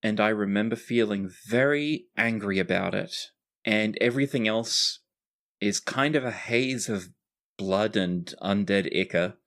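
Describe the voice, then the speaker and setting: A player acting out an easygoing male fantasy fighter in a tabletop game. He is plain-spoken and protective, with a gentle teasing streak, in a mid-range voice. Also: medium pitch, measured pace. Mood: earnest.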